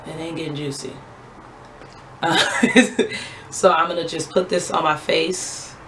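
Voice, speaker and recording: A young woman with a raspy, low voice chatting heartfelt and casual as a beauty vlogger while doing her skincare at home. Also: noisy background. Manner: chatty, heartfelt, thanks God often.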